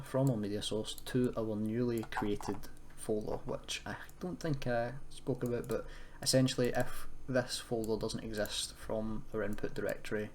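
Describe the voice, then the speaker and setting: A young man giving a casual, unscripted coding tutorial, explaining in a hesitant, self-correcting way. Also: mid-range voice. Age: young adult. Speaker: tutorial presenter, university student. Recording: clear background noise, keyboard typing. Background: computer keyboard typing and noise.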